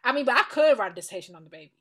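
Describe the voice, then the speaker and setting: A woman co-hosting a podcast, chatting casually about music in an animated, playful, colloquial way. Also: sits high, racing, slightly rough. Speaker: podcast co-host. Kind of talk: casual chat and music commentary.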